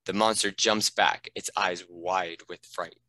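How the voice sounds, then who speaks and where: Male narrator for script directions, deep and crisp-edged, scripted delivery. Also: steady tone, a little slow, pushed and bright, no room sound. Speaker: narrator reading stage directions.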